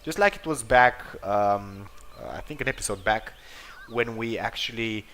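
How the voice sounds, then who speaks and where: A man's voice: on the low side, normal speed, a bit bright, a little hiss or hum. A man giving casual, rambling game commentary, hesitant and hedging as he talks. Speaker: gameplay video host. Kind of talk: casual game commentary, reading dialogue aloud. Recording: game music behind the voice. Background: game music.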